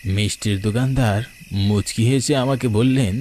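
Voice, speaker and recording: A man's voice: mid-range voice, normal speed, a little mellow, close to an everyday voice. A man voicing an older villager who recounts a ghost encounter from his youth in a suspenseful storytelling manner for a cartoon. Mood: reminiscing. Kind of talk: dramatic ghost story narration. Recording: noisy night ambience with chirping crickets.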